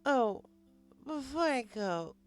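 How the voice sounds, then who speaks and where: Male reader as smashed young mare in a storybook tale: high pitch, dragging slurred words, giggly and rambling. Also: big pitch swings, takes it slowly, faint music behind, heavily acted. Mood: affectionate tipsy. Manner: drawling, rambling drunken talk. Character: drunk, giggly young female pony, boastful and affectionate. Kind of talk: audiobook character voice.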